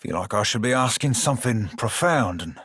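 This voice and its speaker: Husky rogue for a video game, sardonic sailor drawl, rough edges, real dry close recording. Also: male voice, mid-range voice, expressive, brisk, fairly bright tone, no echo. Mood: wary, incredulous. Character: roguish blue shark-kin pirate, salty, sardonic, foul-mouthed. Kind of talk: video game character dialogue.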